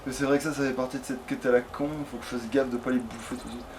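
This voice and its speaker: A man's voice: mid-range voice, little pitch movement, racing. A man giving casual let's play commentary, talking crudely and swearing heavily. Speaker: let's player. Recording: faint background noise.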